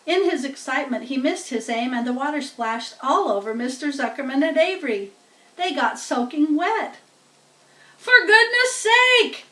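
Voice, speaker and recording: A woman reading a children's story aloud expressively, in a deliberate, teacherly way. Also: sits high, animated. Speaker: storybook reader. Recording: roomy echo, distant microphone.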